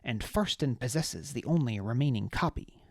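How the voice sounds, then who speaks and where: A narrator voicing a battered young man thief who answers in a dry, sardonic, low-key way. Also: expressive, moderate pace, darkish tone, dry recording. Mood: wry.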